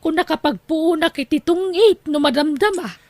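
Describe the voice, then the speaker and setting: Casting: woman playing bossy, nagging mother, high voice, Ilocano accent, radio drama delivery, recorded close and dry. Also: normal speed, a little mellow, dry recording. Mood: grumbling, fond. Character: scolding, nagging Ilocano wife and mother, demanding but doting.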